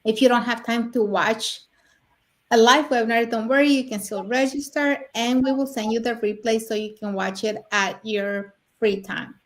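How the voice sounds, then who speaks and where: A woman's voice: fairly high pitch, fairly even intonation, normal speed. A middle-aged or older woman from Mexico co-hosting a live online talk and presenting to viewers. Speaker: co-host of an online business talk show.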